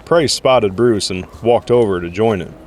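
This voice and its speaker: A man's voice: lowish voice, moderate pace, darkish tone, slight background noise. A man giving scripted story narration as the narrator of an audio drama.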